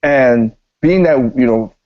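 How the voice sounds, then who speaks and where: A man's voice: medium pitch, slow pace, highs cut off. A man chatting casually and emphatically on a gaming podcast, slangy and given to repeating himself for emphasis. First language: not standard American English. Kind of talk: casual opinionated podcast chat.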